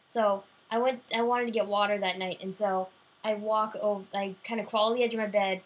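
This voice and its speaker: A woman's voice: medium pitch, steady tone, fairly quick, rough recording. A woman telling anecdotes in a chatty, rambling, casual way.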